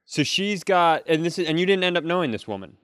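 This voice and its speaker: Male comedy podcast co-host, smooth clear voice, dry rambling delivery, close dry sound. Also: fairly high pitch, expressive, quick pace, fairly bright tone, no echo. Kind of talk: casual comedic banter.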